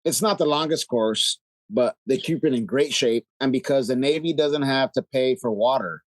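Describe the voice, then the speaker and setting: A man's voice: mid-range voice, normal speed, dry recording, low-quality recording. Profane, emphatic man chatting, close dry sound. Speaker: podcast guest from a local golf crew. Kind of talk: casual chat.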